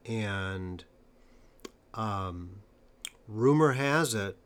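Man, low-pitched voice, interviewer, emphatic, conversational. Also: lively intonation, drawn-out words, a bit bright. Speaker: interviewer and stuttering coach.

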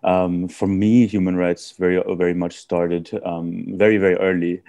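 A man from India, raised in Austria, answering podcast interview questions in a halting way with many fillers and restarts. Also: sits low, level tone, warm tone, no echo. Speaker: guest.

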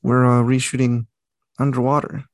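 A man's voice: mid-range voice, level tone, normal speed, dry recording, clean studio sound. A young man co-hosting a casual podcast, rambling and correcting himself as he talks. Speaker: podcast co-host. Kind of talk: casual chat.

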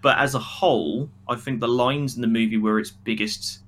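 A man's voice: mid-range voice, steady tone, normal speed. A man co-hosting a casual podcast, giving critical, opinionated takes on a film. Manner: critical, acts out imagined quotes. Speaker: podcast co-host reviewing a film.

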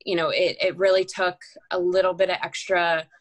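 A woman hosting a casual podcast conversation, relaxed and teasing. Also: fairly low pitch, normal speed. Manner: relaxed, many "um" fillers, easy teasing. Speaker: podcast host.

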